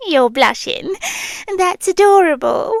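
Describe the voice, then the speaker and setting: A British woman playing a possessive yandere girlfriend who lives in the listener's head, speaking in an intimate, breathy ASMR style with teasing pauses and frequent drops into whispers. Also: high-pitched, animated, dry recording, studio quality, full character voice. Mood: teasing, knowing. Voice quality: breathy, often dropping into whispers. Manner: slow, teasing, coy, with drawn-out pauses. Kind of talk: ASMR roleplay, intimate close address. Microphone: close, dry microphone.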